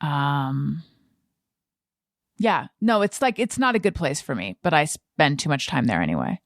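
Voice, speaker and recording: A woman who hosts a podcast, talking casually and reflectively, with hesitant pauses as she thinks. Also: low-pitched, big pitch swings, no echo, clean studio sound. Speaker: podcast host. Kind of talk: casual conversational interview.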